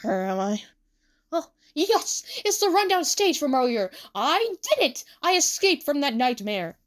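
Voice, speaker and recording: A woman's voice: a little high, big pitch swings, normal speed. A woman voicing a dramatic, vain young man who dreams of stardom and flusters easily, speaking theatrically in a story reading. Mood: dazed. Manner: theatrical, emphatic.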